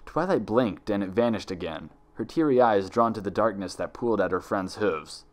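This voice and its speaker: Guy reading a scary story out loud, lowish voice, dramatic narration. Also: expressive, moderate pace. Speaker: fan fiction reader.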